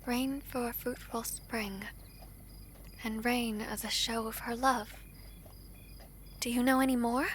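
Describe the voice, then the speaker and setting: A woman playing a gentle, well-mannered young princess in a fantasy audio drama, with formal, thoughtful speech. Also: on the high side, steady tone, slow, long pauses, slight background noise. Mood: wistful, thoughtful.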